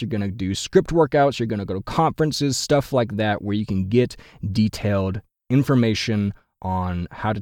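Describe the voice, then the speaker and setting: Young man, deep baritone, low-pitched, chatty podcast advice, dry close sound. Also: a little mellow, no echo. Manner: chatty, self-correcting, repeats "et cetera". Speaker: podcast host at a voiceover studio.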